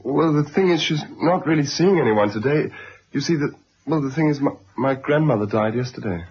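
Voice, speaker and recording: An actor playing an awkward, polite young son in a radio drama, speaking hesitantly. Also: male voice, medium pitch, expressive, a little fast, natural voice. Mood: awkward, subdued. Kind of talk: 1970s radio drama acting. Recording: noisy, dull vintage radio recording.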